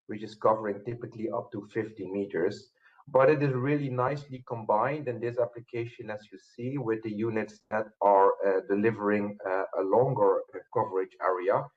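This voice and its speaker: A man giving a webinar product presentation in a halting, explanatory way, with frequent hesitations. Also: on the low side. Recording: muffled phone-like webinar audio.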